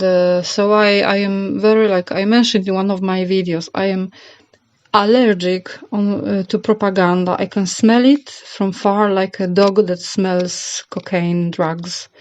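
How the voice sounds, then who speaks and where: A woman's voice: mid-range voice, a little mellow. A middle-aged woman from Poland with a Polish accent, giving a casual opinion monologue to her channel in a hesitant, rambling way with frequent repeats. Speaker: channel host. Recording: roomy, slightly dull home recording.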